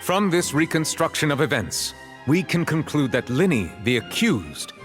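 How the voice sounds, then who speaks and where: An actor voicing a grave, formal chief justice in a video game, speaking with measured authority. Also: male voice, on the high side, very animated, faint music behind. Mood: solemn, explaining. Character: grave, formal male chief justice presiding over a trial. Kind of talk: dramatic video game voice acting.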